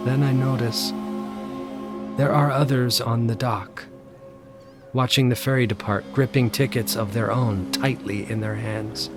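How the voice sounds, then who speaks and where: An actor playing a haunted, obsessive man who narrates his supernatural terror in an intense, halting audio drama delivery. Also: medium pitch, fairly even intonation, close to an everyday voice. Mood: haunted, subdued. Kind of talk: audio drama first-person horror narration. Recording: loud music under the voice. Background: dramatic music.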